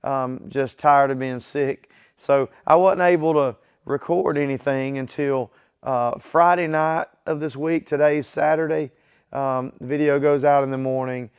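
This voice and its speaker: A man's voice: mid-range voice, fairly even intonation, unhurried, clear, resonant tone. Easygoing man with a weak voice. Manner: plain, easygoing, talks straight to viewers. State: weak voice from illness. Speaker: car builder hosting his own garage channel. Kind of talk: casual workshop vlog talk. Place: home garage workshop.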